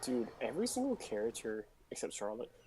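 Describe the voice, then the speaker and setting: Very low-pitched woman streaming a game. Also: very animated, a little fast, mellow, slight background noise. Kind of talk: casual live gameplay commentary. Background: game music.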